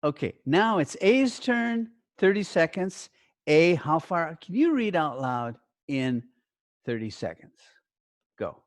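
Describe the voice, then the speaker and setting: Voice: mid-range voice, big pitch swings, slow pace, no room sound, clean studio sound. A language teacher giving step-by-step activity instructions in short, simple sentences with check questions.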